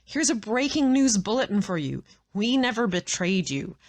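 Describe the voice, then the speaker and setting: A woman's voice: mid-range voice, very animated, normal speed. A woman acting in an audio drama as a brash, sardonic, hard-bitten assassin who swears freely. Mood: angry, defensive. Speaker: voice actor in an audio roleplay.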